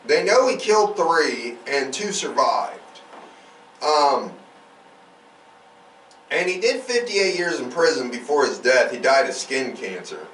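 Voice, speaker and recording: A man's voice: medium pitch, very animated, slow pace, long pauses, fairly bright tone. A man hosting a true crime podcast, telling the story in a casual, emphatic and profane conversational way. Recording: roomy, distant mic, faint noise.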